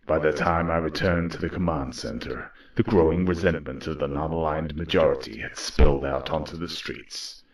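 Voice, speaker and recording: A man's voice: rumbling low, normal speed, hardly any pauses. A man playing a weary robot war leader, narrating an audio drama in a grave, solemn manner. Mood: grave, regretful. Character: weary male robot war leader recounting his downfall.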